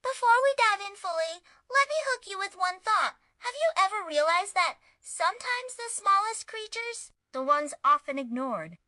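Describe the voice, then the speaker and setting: A woman's voice: very high voice, very animated, moderate pace, few pauses, sharp tone, no room sound. A woman reading a scripted children's story review as narrator, with an earnest, emphatic and dramatic delivery.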